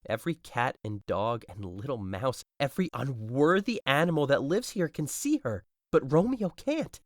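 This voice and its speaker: A man's voice: medium pitch, expressive, moderate pace, dry recording, studio quality, close to an everyday voice. Man playing despairing young lover, widely swinging voice. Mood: wounded, bitter. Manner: melodramatic, anguished, declamatory. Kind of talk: modern-language Shakespeare audio drama.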